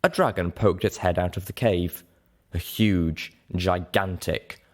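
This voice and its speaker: A man narrating a fantasy audiobook in an expressive, scripted reading style. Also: sits low. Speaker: audiobook narrator and show host.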